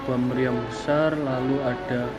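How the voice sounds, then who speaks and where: A young man gives an explanatory academic presentation as a student, speaking haltingly in short phrases. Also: medium pitch, flat delivery, loud music behind the voice. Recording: dull lo-fi audio, clear background noise.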